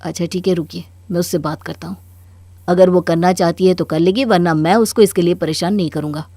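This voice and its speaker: A woman's voice: fairly low pitch, steady tone, a little fast, mellow, dry recording, voice pushed down. A woman voicing a young married man in a cartoon story, firm and reasoning, mildly exasperated. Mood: calm, considerate. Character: calm, firm young married son reasoning with his mother. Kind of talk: Hindi cartoon story dialogue.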